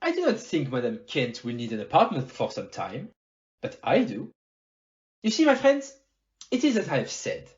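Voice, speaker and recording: Theatrical, animated detective, played by an amateur actor. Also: mid-range voice, normal speed, dull audio. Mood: courteous, wry. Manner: theatrical, didactic, rhetorical "eh?" tags, French phrases. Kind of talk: amateur audio play detective acting.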